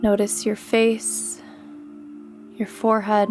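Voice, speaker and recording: Very slow, even-toned woman guiding meditation. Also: mid-range voice, faint music behind. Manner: gentle, soothing, unhurried instruction with long pauses. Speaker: meditation guide. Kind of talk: guided sleep meditation, scripted narration.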